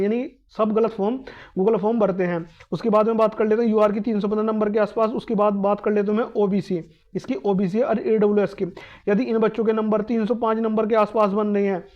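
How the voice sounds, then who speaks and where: A man explaining exam cutoff scores to students in a fast, repetitive, emphatic talk. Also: sits high, highs cut off. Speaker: exam coaching YouTuber.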